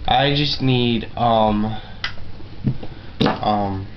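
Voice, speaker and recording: A man talks casually to the camera at a slow pace, rambling and stopping to search for words. His manner is self-deprecating. The sound is dull and lo-fi with faint noise behind it. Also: on the low side. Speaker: amateur video blogger.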